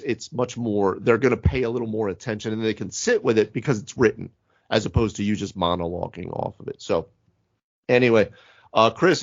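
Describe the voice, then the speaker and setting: A man's voice: on the low side, no room sound, dull audio. A man co-hosting a casual panel discussion on a podcast, talking in a rambling, self-interrupting way. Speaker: podcast co-host; physical therapist. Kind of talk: casual panel discussion about tabletop roleplaying games.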